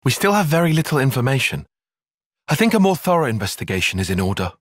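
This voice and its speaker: An actor playing a guarded, analytical young soldier-tactician in a video game, with formal, bookish phrasing and a dry, prickly edge. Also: male voice, medium pitch, sing-song, fast talker, no echo. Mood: analytical, measured. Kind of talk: video game cutscene acting.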